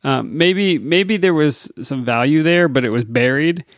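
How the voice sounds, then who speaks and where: A man's voice: on the high side, unhurried, no room sound, clean studio sound. Wry Virginian man co-hosting a podcast. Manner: wry, deadpan humor. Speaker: podcast co-host. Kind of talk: casual chat, reading a puzzle clue.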